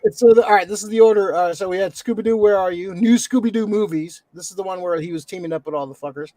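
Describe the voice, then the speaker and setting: A man's voice: high voice, fast talker, mellow, dry recording, rough recording. A man co-hosting a podcast in casual chat, rambling and profane, often correcting himself mid-sentence. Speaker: podcast co-host.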